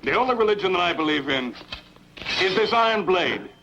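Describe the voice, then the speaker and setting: Casting: male actor as a swaggering young warrior prince, commanding and animated, slightly high voice, for a film dub. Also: a little fast, full character voice. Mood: defiant, commanding. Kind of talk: dubbed sword-and-sandal film dialogue. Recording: dull lo-fi dub with faint noise.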